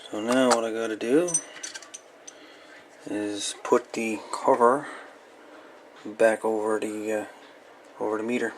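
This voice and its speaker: A man giving a casual hands-on repair walkthrough in a halting, folksy way with colloquial grammar. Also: fairly low pitch, little pitch movement, background noise. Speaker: repair video host. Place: indoor electronics workbench.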